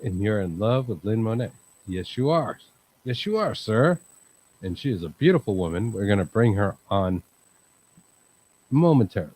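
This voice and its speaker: Calm low-voiced man hosting. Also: normal speed, pauses often, husky, rough recording. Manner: calm, rambling, many fillers. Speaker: host. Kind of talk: casual livestream chat.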